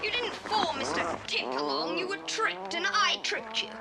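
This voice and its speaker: A woman's voice: very high-pitched, big pitch swings, moderate pace, gravelly, over loud music, heavily acted. A woman playing a bold, bossy boy who leads an adventure in a children's audio drama. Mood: defiant. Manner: decisive, commanding. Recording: faintly hissy old cassette tape.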